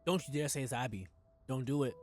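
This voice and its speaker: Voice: medium pitch, fast talker, darkish tone. A livestreamer giving casual, playful commentary on a game, often repeating phrases for emphasis. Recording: livestream with faint game music.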